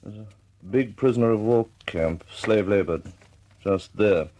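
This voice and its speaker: A man's voice: fairly low pitch, measured pace, warm tone. A man acting in a radio drama as a reflective, deferential British air force officer, speaking with restraint and some hesitation in a British accent. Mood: sober, reflective.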